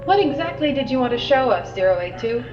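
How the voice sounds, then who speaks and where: A woman's voice: high voice, sing-song, fast, music in the background. A woman playing a weary, sardonic scientist recording containment logs in an audio drama, dry and matter-of-fact. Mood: patient, businesslike. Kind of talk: audio drama log narration. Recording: muffled, distant, noisy log with hum.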